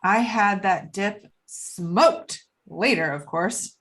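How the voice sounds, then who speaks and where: A woman's voice: mid-range voice, takes it slowly, a bit bright. A woman playing a brash, swaggering drug dealer. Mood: menacing boast. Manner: brash, profane, slangy. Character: wiry, weaselly, swaggering drug dealer in her thirties. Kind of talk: screenplay table read. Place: remote video call.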